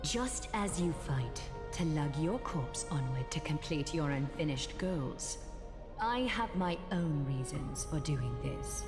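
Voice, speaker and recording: A woman voicing a burnt-out, resolute thousand-year-old female leader in a video game, grave, measured and restrained. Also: low-pitched. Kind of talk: scripted game voice acting. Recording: long hall reverb, loud music.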